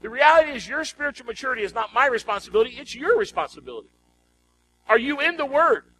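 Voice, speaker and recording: A man's voice: very high voice, sing-song, fast talker, pushed and bright, rough recording. A man preaching a sermon as a pastor, emphatic and exhorting, repeating phrases and putting rhetorical questions to his congregation.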